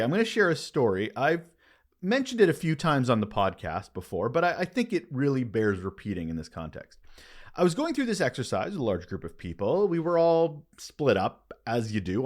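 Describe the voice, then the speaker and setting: A man hosting a podcast, delivering a conversational scripted monologue with emphatic, expressive phrasing and dramatic pauses. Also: medium pitch. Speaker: podcast host.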